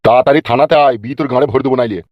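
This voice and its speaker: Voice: mid-range voice, steady tone, very fast, no room sound, lo-fi sound, natural voice. A performer voicing a crude, bossy male police officer in a cartoon who threatens and swears. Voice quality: gruff, threatening, swears a lot. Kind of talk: cartoon voice dubbing.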